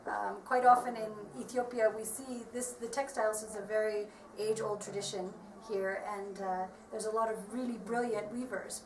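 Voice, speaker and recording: A woman working as a marketing advisor, explaining things earnestly and steadily in an interview, with frequent ums and restarts. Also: mid-range voice. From United States. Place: workshop premises. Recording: echoey room, voice from far off, faint background noise.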